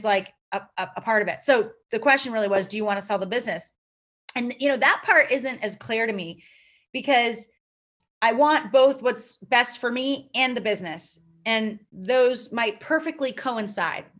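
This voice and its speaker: A woman's voice: mid-range voice, fairly bright tone. A woman founder, whose first language is Lithuanian, answering interview questions in a thoughtful, rambling way with many fillers. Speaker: company founder and CEO.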